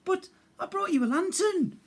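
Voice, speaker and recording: A man voicing a big, patient, gently weary fatherly bear in a coaxing, emphatic way for a children's storybook. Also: very high voice, lively intonation, moderate pace, voice pushed up. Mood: reassuring.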